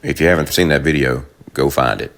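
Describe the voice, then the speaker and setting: A man who sings bass in a quartet, telling a story with dry, self-deprecating humor. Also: brisk, vocal fry, a bit bright. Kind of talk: interview clip.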